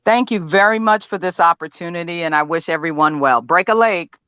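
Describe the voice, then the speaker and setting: A woman's voice: lowish voice, fairly quick, bright, forceful tone, smooth, dry recording. Polite woman, slightly muffled dry sound. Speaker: auditioning actress. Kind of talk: polite introductory chat.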